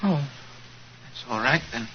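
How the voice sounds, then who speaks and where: Muffled old radio, guy acting a simple gentle young man, childlike and halting, medium pitch. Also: animated, natural voice. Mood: innocent, trusting. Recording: muffled, faintly noisy 1940s radio recording.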